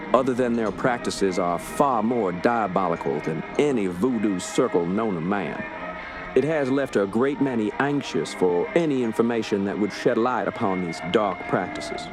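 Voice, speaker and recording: A man's voice: fairly low pitch, natural voice. A man playing a polite, businesslike police inspector with formal, wordy speech in a period radio drama.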